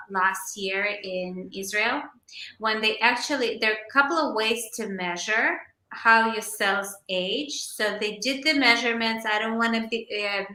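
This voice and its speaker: A woman in her forties, a naturopathic doctor, explaining health topics in a measured way during a casual podcast chat. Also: medium pitch, bright, forceful tone, roomy sound, rough recording. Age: 40s. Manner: explanatory, measured, occasionally hesitant.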